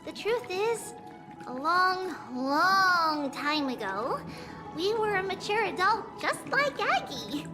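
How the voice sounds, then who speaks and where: A woman voicing a small, cheerful demigod girl in a video game, with a light childlike voice and an unhurried manner. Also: very high voice, sing-song, over music, heavily acted. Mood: bright, gentle. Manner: unhurried, speaks of herself as "we".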